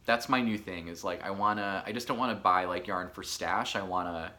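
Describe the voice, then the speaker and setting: A man in his thirties from Michigan, hosting a podcast in casual solo chat, chatty and a bit hoarse, with lots of filler words. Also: sits low, brisk, sharp tone. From Michigan, USA. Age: 30s. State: hoarse from allergies. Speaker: podcast host.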